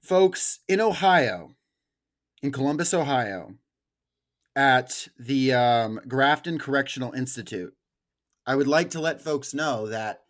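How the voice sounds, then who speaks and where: A man's voice: mid-range voice, expressive, slow. A man hosting a podcast in a casual, slangy, joking way. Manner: informal, slangy, jokey. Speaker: podcast host.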